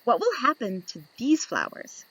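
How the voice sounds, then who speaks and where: A woman reading a children's picture book aloud, slow and lively, lifting into high voices for the questions. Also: slightly rough, rough recording. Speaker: storybook reader.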